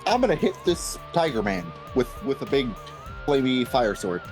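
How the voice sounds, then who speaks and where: Animated man with swooping pitch. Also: normal speed, soft background music. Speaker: tabletop game player. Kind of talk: casual animated table chat.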